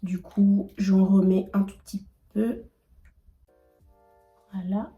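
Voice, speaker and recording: A woman's voice: mid-range voice, level tone, takes it slowly, narrow, tinny audio, faint music behind. A young woman in her twenties with a cold, chatting casually while doing her makeup, rambling with many fillers and restarts. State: has a cold. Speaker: beauty vlogger.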